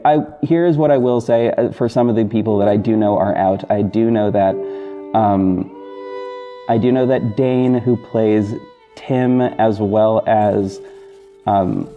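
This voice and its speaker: Muffled, very dark-voiced man rambling casually. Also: fairly low pitch. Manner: rambling, effusive, halting. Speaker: podcast host and audio drama creator. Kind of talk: casual unscripted podcast update. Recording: dull muffled sound with music bed. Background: backing music.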